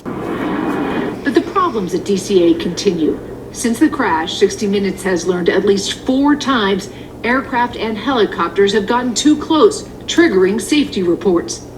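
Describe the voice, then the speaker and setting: A woman's voice: mid-range voice, lively intonation, a little slow, low-quality recording. A woman reading scripted television news narration as a correspondent. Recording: TV clip with clear background noise.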